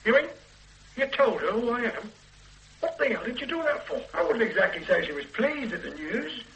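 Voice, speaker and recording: A man's voice: very high-pitched, very animated, moderate pace, a bit bright, a little hiss or hum, heavily acted, rough recording. A desperate fugitive father in his fifties. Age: 50s. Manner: pleading and insistent. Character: desperate older father, fugitive murder suspect. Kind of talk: 1980s radio drama acting.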